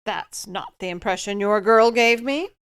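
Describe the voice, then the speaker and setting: A woman acts out an imperious eldest sister in a radio drama, steely and middle-aged. Her medium-pitched voice is stiff, haughty and curt. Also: moderate pace, fairly bright tone, clean tone, close to an everyday voice.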